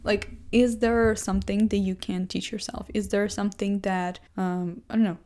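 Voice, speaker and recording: A young woman, English not her first language, thinks out loud in a loose, rambling monologue. She talks at a middle pitch and keeps restarting her sentences with fillers. She pokes fun at herself as she goes. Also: little pitch movement, normal speed. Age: young adult. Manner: chatty, self-deprecating, full of fillers and restarts. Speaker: vlogger. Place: seated indoors in a chair.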